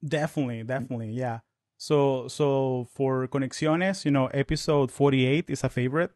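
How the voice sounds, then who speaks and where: A man in his thirties whose first language is Spanish, talking fast with many restarts as a guest in a casual podcast interview. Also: medium pitch, steady tone, darkish tone, no echo, clean studio sound. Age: 30s.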